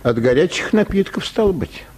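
An actor in a radio play playing a polite, unhurried medical assistant who speaks courteously to patients. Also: male voice, high-pitched, sing-song, darkish tone, a little hiss or hum, poor audio. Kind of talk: radio play acting of a comic short story.

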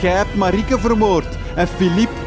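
Male actor as eager, naive Flemish boy reporter, high-pitched and melodramatic, radio play with prominent music. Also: steady tone, normal speed, close to an everyday voice. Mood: indignant. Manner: melodramatic, accusing, stacks up clichés. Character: indignant, naive, overzealous young male star journalist. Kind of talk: gothic horror comedy radio drama. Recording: loud music under the voice.

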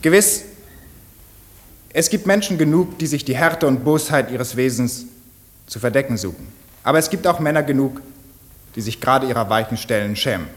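Male actor, mid-range voice, earnest courtroom advocate for radio drama, solemn and rhetorical, pausing for emphasis. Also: medium pitch, animated, natural voice. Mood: solemn, grave. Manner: measured, rhetorical, emphatic pauses. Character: earnest, solemn defence lawyer pleading for mercy. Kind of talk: German radio play courtroom speech.

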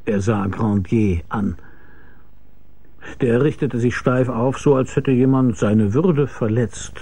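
Gravelly, mellow private detective. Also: male voice, mid-range voice, takes it slowly. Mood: probing, dry. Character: wry hard-boiled male private detective. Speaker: lead actor and narrator. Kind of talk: radio crime drama, first-person narration.

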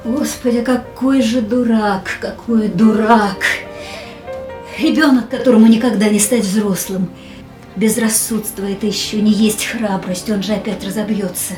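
An actress playing a fatalistic, defiant young woman in a radio drama, theatrical and wistful, with drawn-out words. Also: mid-range voice, steady tone, darkish tone, faint music behind, close to an everyday voice. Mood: bitter exasperation. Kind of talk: radio drama acting, inner monologue and dialogue.

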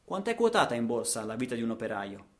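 A man performing a dramatic radio monologue, rhetorical and emphatic, with deliberate pauses and repetitions. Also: medium pitch, very animated. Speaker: actor and narrator.

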